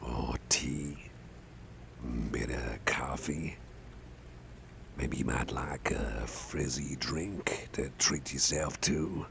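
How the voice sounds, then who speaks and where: A man playing a big, gruff but caring boss in an ASMR roleplay, murmuring gently with a gravelly, often breathy voice and soft hesitations. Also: extremely low pitch, extremely slow, slight background noise, exaggerated voice. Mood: caring, attentive. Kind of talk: ASMR personal-attention roleplay.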